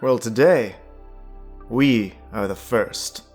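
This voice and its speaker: Male actor as bold young warrior leader, slow and declamatory, audio drama with light music. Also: medium pitch, a little mellow. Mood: reflective, proud.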